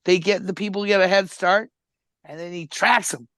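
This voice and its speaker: A man's voice: high voice, normal speed, dry recording. A man with an Albertan Canadian accent, co-hosting a podcast in crude, casual chat, swearing freely and laughing often. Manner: profane, laughs often, animated storytelling. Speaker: podcast co-host.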